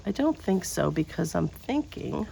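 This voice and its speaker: A woman hosting a crafting video outdoors. She talks in a quiet, subdued way, drifts into mutters and whispers as she works, and her voice is lower and deeper than usual. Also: sing-song, a little slow, creaky, a little hiss or hum, rough recording. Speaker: host of a paper craft channel. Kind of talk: casual crafting chat while working. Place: outdoors, in a breeze.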